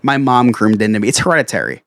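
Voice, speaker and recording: A man's voice: mid-range voice, sing-song, quick pace, fairly bright tone, no echo, clean studio sound. Rambling tipsy man with a New Jersey accent. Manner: rambling, self-mocking, laughs a lot. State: a bit tipsy. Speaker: podcast co-host. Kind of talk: casual chat.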